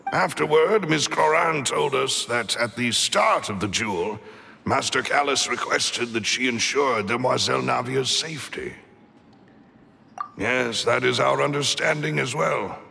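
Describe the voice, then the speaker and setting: A man's voice: mid-range voice, unhurried, creaky, pushed and bright, exaggerated voice. An actor playing a loyal, earnest male retainer in a video game, speaking formally and deferentially. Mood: solemn.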